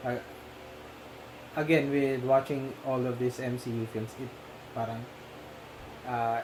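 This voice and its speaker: A man with a Filipino accent chatting casually about a film, switching between Tagalog and English with many pauses and fillers. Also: mid-range voice, level tone. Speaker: podcast co-host. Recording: noisy home recording.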